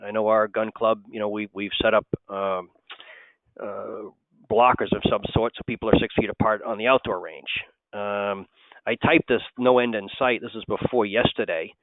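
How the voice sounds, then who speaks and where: A man's voice: fairly low pitch, clean tone, no room sound. Man hosting a webinar over a tinny line. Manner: loose and conversational, many "uh" and restarts. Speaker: host, wholesale company president. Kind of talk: casual webinar presentation.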